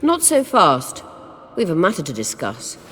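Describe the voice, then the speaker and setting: A woman playing a haughty, scheming sorceress in a video game, with a husky voice and an imperious, mocking delivery. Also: lowish voice, wildly swooping pitch, measured pace, fairly bright tone, big-room sound, full character voice. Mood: smug, scheming. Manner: imperious, theatrical, condescending.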